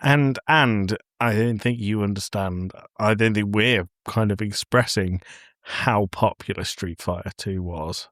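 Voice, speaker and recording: Male co-host, somewhat deep, bantering informally, recorded dry. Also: measured pace, a bit bright, dry recording. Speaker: podcast co-host. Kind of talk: casual bantering chat.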